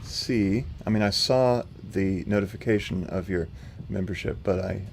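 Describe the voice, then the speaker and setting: A man hosting a live stream, answering chat questions in a calm, level, unhurried way with a slightly rough voice. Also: low voice, a little hiss or hum. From not from North Carolina. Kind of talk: casual livestream commentary answering chat. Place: home.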